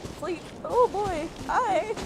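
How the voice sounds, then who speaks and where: A woman's voice: very high-pitched, lively intonation, slow pace, creaky, heavy background noise. A woman streamer giving casual gameplay commentary in a gushing, playful, exclamatory way. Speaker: gaming streamer. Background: loud game music.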